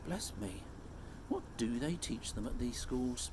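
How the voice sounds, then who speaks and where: A man's voice: medium pitch, big pitch swings, a little slow, background noise. A man voicing a kindly, eccentric old storybook professor, musing and questioning himself aloud. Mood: knowing.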